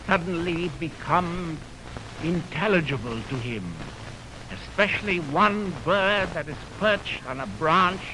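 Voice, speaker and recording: A man hosting a 1920s radio music program, telling stories in a florid, dramatic manner. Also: a little high. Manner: theatrical, florid, dramatic storyteller. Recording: noisy, warped 1929 radio aircheck on film.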